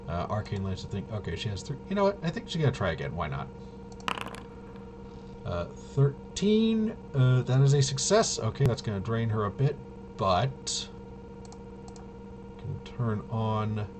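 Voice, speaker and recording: A man casually narrating tabletop roleplaying gameplay as game master, haltingly thinking aloud and muttering to himself as he goes. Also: lowish voice, noisy background.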